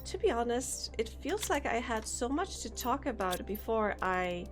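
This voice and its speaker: A woman's voice: on the high side, sing-song, normal speed. A woman live-streaming a game, rambling casually and chatting with viewers, her throat a little sore. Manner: rambling, thinks aloud, chats with viewers. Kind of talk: casual live-stream chat while playing. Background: faint game music.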